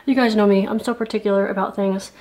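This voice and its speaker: A woman's voice: medium pitch, steady tone, quick pace, darkish tone, reverberant. A woman in her thirties chatting casually through a home cleaning and cooking vlog, rambling with plenty of fillers, filmed on a handheld camera in her kitchen. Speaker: home vlogger. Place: kitchen of a house. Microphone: handheld camera at arm's length.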